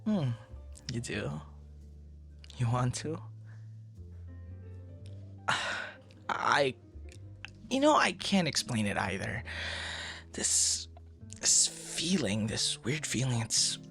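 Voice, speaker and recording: Guy doing a smitten boyfriend asmr stranger, gravelly soft murmur, slow and halting, tender. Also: medium pitch, big pitch swings, faint music behind, heavily acted. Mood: smitten, hushed. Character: smitten, flustered young male stranger turned romantic suitor. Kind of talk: intimate ASMR boyfriend roleplay.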